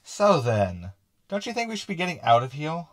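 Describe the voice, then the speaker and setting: A man's voice: a little high, big pitch swings, normal speed, fairly bright tone, dry recording, clean studio sound. A man playing a snide, teasing crewmate with a sarcastic manner in a sci-fi comedy audio drama. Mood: impatient. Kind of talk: sitcom audio drama acting.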